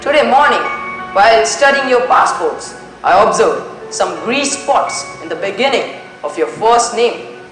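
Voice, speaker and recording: A teenage student acting a composed, methodical detective in a stage play, speaking formally and deliberately. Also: mid-range voice, lively intonation, fairly bright tone. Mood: deliberate, probing. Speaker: student actor in a school play. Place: school stage, indoors. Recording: very echoey school stage, music behind.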